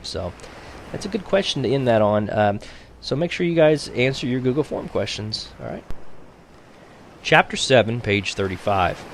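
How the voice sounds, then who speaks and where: A man reading a novel aloud as a teacher would for his class, in an expressive audiobook style. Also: mid-range voice, moderate pace, gravelly, a little hiss or hum.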